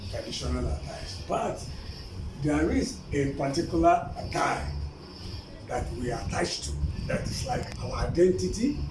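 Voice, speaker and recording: A man's voice: fairly high pitch, mellow. A man from eastern Nigeria with an Igbo Nigerian accent explains things as an interview guest, using repetitive, emphatic phrasing. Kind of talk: informal explanatory interview answers. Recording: roomy, distant, clearly noisy recording.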